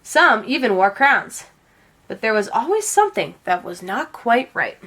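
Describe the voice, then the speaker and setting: A woman reading a children's picture book aloud as an expressive storyteller. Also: fairly high pitch, unhurried. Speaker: read-aloud storyteller, teacher.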